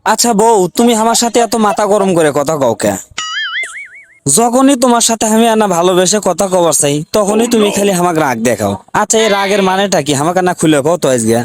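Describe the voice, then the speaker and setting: A man's voice: high-pitched, expressive, fairly quick, music in the background. A man voicing an exasperated, pleading village husband in a comic cartoon, with a North Bengal accent, complaining in questions. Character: exasperated, pleading village husband who turns on his wife. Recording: clearly noisy recording with clicks.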